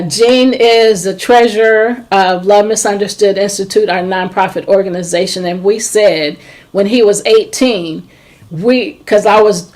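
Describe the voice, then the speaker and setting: A middle-aged woman hosting a talk show who speaks as a trauma and forgiveness coach, talking slowly and hesitantly with many ums. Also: mid-range voice, darkish tone. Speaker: host. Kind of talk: casual chat.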